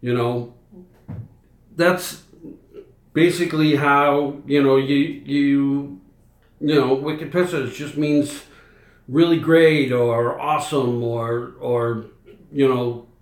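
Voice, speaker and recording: Middle-aged Boston-accented stream host, unhurried, rambling, echoey room. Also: male voice, mid-range voice. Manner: rambling, many fillers and restarts. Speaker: livestream host. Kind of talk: casual live chat answering viewers.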